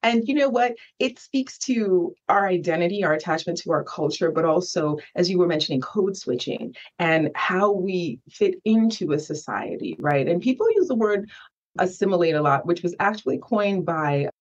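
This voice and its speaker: A woman's voice: on the low side, highs cut off. A Haitian-born woman whose first language is Haitian Creole, speaking as a podcast guest, emphatic and often checking in with "right?". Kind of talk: conversational storytelling.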